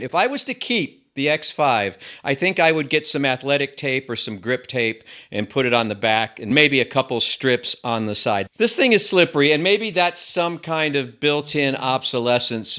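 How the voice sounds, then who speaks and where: A man's voice: medium pitch, bright, forceful tone. A man hosting a casual gadget review, chatting to the viewer with self-deprecating asides. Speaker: tech reviewer, host.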